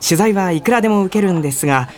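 A man's voice: sits high, expressive, racing. Earnest, polite young male office junior. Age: young adult. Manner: polite junior speech, easily exasperated. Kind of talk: Japanese radio drama acting.

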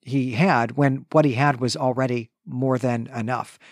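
A man at an ordinary pitch talks through ideas earnestly in a casual podcast chat, often tagging his points with a questioning "right?". The recording is dry and close, with no room echo. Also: steady tone, a little slow. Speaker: podcast co-host. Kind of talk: casual literary discussion.

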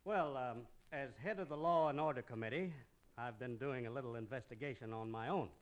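An actor playing a scheming, cowardly American banker with an oily, evasive manner in an old radio western. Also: male voice, mid-range voice, fairly quick, natural voice. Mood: smooth. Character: smooth, scheming, cowardly banker, secret male boss. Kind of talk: 1950s radio western acting.

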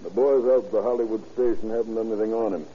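An actor playing a brisk, commanding police captain, businesslike and directive in old-time radio style. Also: male voice, lowish voice, warm tone, lightly acted. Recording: muffled vintage radio with faint noise.

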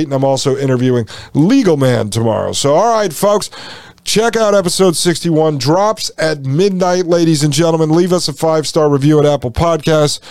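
A man's voice: a little high, darkish tone, clear, resonant tone, no room sound, clean studio sound. A man hosting a solo podcast, chatty and addressing listeners as folks, while losing his voice. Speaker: podcast host.

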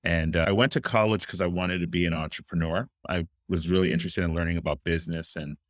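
A man's voice: sits low, steady tone, fast talker, no echo, studio quality. A young adult man from Miami, a childcare company founder, answering interview questions in an earnest, storytelling way with casual fillers. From Miami, Florida.

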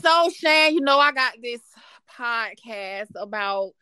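High-voiced, effusive woman podcast host. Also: animated, slow pace, sharp tone, clear, resonant tone, dry recording. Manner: effusive, informal, laughs easily. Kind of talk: casual podcast hosting and interview chat.

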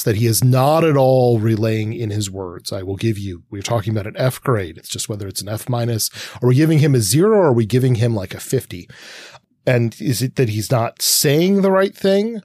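A man's voice: fairly low pitch, a little mellow, dry recording. A man co-hosting a conversational literary discussion, posing long-winded, analytical questions. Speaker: podcast co-host.

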